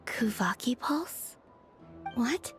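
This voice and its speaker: A high, girlish game heroine muses aloud to herself. She sounds puzzled yet determined, and music plays clearly behind her. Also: lively intonation, drawn-out words, in a whisper. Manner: musing aloud. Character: puzzled, determined young woman exploring a memory space. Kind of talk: video game voice acting.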